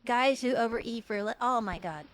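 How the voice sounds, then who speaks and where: A woman's voice: a little high, a little fast, crowd noise. A woman hosting a livestream, giving casual comedic commentary in a mocking, joking way. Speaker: livestream host reacting to old films.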